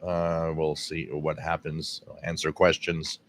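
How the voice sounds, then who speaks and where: A man from Quebec, Canada, hosting a casual live radio-hobby stream with a scratchy, hoarse voice, rambling with lots of fillers, recorded on a directional mic at home. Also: rumbling low, completely flat delivery, a little slow. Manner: rambling, many fillers and restarts. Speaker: radio hobby livestream host. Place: home radio room with air conditioning running. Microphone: directional microphone.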